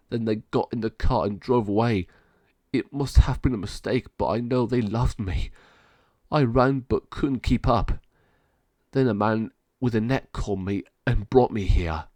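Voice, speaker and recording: A man voicing a lonely abandoned male dog in a storybook, speaking in a gentle, resigned way. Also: lowish voice, no room sound.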